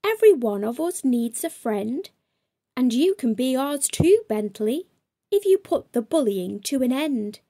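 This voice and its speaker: A woman's voice: sits high, sing-song, measured pace, mellow, pitched above the performer's own voice, full character voice. A woman voicing a small, brave and kind storybook boy, speaking in an earnest, plain way.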